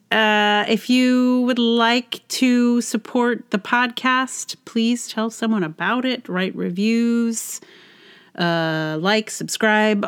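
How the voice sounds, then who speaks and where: A middle-aged woman hosting a podcast, chatting casually on her own in a wry, self-deprecating way. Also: lowish voice. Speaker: podcast host and musician. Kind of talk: casual solo podcast monologue.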